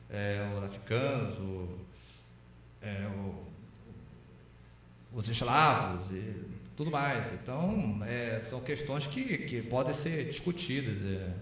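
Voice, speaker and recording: A man with a Brazilian accent and a mid-pitched voice answers reflectively in an interview. He pauses and restarts, then leans on key points. The recording is heavily echoey. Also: a little hiss or hum. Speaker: interview guest, philosopher. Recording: very echoey room.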